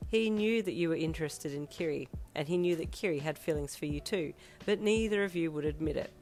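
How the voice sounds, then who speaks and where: Hoarse low-voiced woman narrator. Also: brisk. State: hoarse, losing her voice. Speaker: fanfiction reader and narrator. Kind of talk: narrated fanfiction audiobook for a listener. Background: soft lofi piano music.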